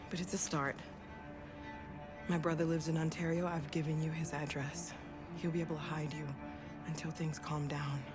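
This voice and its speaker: An actress playing a kindly, protective middle-aged woman in a game, earnest and reassuring as she helps fugitives. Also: low voice.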